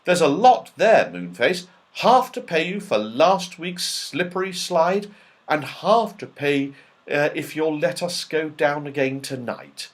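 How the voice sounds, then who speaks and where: Man doing an adventurous kid from a storybook, pitched up, eager, voice jumps around. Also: very animated, takes it slowly. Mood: friendly. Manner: eager, exclamatory.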